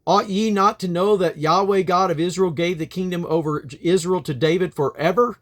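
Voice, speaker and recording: A man's voice: high voice, fairly even intonation, normal speed. Solemn man reading scripture aloud. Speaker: Bible reader. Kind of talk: scripture reading aloud from the King James Bible.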